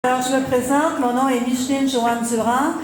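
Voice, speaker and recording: A woman with a Quebec French accent introducing herself and the next speaker, halting and full of fillers. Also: high voice, fairly even intonation. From Quebec, Canada. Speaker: conference moderator and expert. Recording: echoey hall with faint noise.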